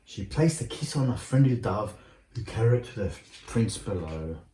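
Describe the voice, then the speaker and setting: Man, lowish voice, bedtime storybook narrator, slightly stumbling, roomy sound with faint noise. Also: animated, moderate pace, warm tone. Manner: stumbles over words while reading. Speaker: father reading a bedtime story. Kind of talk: storybook read-aloud. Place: indoor room at home.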